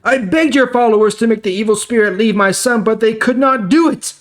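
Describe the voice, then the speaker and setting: Man acting out a desperate father, high pleading voice. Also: a little fast, close to an everyday voice. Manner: pleading, emphatic. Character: desperate father pleading for his afflicted only son. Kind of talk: dramatized Bible reading.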